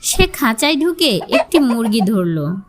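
A woman's voice: on the high side, very animated, unhurried. A woman storyteller narrating a scripted fable for children.

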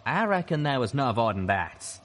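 A man's voice: mid-range voice, sing-song, quick pace, slight background noise, pitched above the performer's own voice, close to an everyday voice. A man voicing a wry, exasperated and folksy pegasus gunslinger, battle-worn, in a story reading. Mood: glum.

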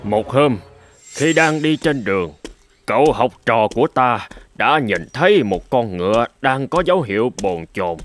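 A man voicing a stern, wise old sword master who lectures his students in a measured, fatherly way in a cartoon. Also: mid-range voice, animated, faint music behind, lightly acted. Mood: calm storytelling. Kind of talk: scripted Vietnamese cartoon dub, telling a parable.

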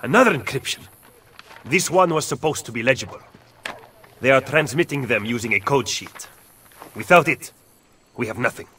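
An earnest young Roman rebel. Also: male voice, mid-range voice, expressive, moderate pace, pauses often, sharp tone, slight background noise. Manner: earnest, urgent. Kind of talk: video game cutscene dialogue.

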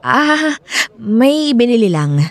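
A woman's voice: a little high, sing-song, slow, dry recording, heavily acted. A woman acting a young married woman in a Filipino radio drama, with a Filipino accent and an easily flustered, evasive manner. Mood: awkward, uneasy.

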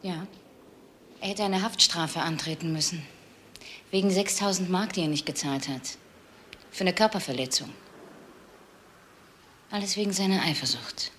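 An actress playing a defensive, prickly nurse being questioned in a radio crime drama, answering curtly. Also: female voice, lowish voice, moderate pace, long pauses, fairly bright tone, close to an everyday voice. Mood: resigned. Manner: curt, defiant. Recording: faint background noise.

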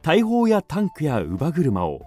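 A man narrates a children's radio drama in a fairly high voice. His pitch swings very widely as he tells the story, with light music underneath. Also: wildly swooping pitch, measured pace.

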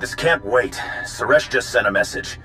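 A worried, businesslike officer bringing urgent word to command in a sci-fi game a man acting, forceful, faint music behind. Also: mid-range voice, moderate pace, natural voice, low-quality recording. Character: urgent male military officer, worried, earnest.

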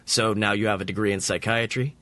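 A man doing a flat, grim weary teacher. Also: brisk, vocal fry, dry recording, exaggerated voice. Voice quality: low, flat, deadpan.

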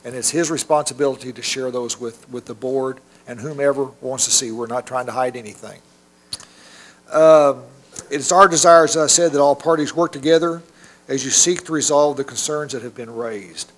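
A middle-aged man speaking at a school board meeting, informal and halting with many restarts. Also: mid-range voice. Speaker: state monitor addressing a school board. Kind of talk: public meeting remarks. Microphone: podium microphone.

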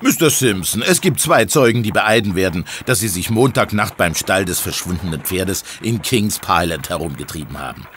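Police inspector: low, very animated delivery, smug and accusatory, audio drama interrogation over faint noise. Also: male voice, normal speed, few pauses. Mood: smug, pressing. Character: triumphant, hectoring male police inspector interrogating suspect.

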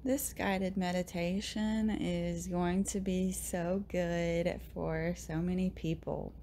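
A woman leading a guided meditation in a soft, breathy, often near-whispered voice, gently soothing, with long pauses between short phrases. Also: fairly low pitch, level tone, slight background noise. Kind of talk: guided meditation, slow and hushed.

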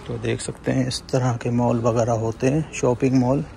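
Guy filming himself in a big store, gravelly voice, faint hum of the shop behind. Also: mid-range voice, little pitch movement, moderate pace, warm tone. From India. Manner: conversational, keeps saying "friends" to viewers. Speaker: vlogger. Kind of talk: walking tour vlog. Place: large indoor supermarket.

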